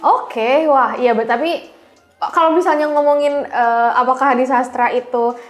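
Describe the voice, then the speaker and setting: A woman's voice: high voice, measured pace. A woman hosting a casual interview, informal and reactive, recorded with roomy echo. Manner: reactive, informal, mixes in English words. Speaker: podcast host.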